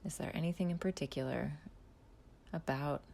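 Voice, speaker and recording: A woman leads a guided body scan in a slow, soft voice that often drops to a near whisper. Her pitch sits low and carries a gravelly fry. Long pauses separate her gentle instructions. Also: slight background noise. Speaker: mindfulness guide.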